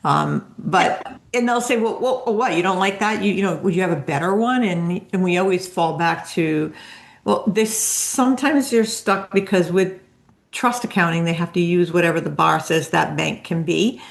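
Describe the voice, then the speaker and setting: Low, creaky-voiced woman, podcast co-host. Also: moderate pace. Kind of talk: casual podcast chat.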